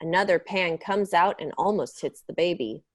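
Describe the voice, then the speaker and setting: A woman's voice: lowish voice, normal speed, close to an everyday voice. A woman narrator reading scripted stage directions for a children's story in a dramatic reading.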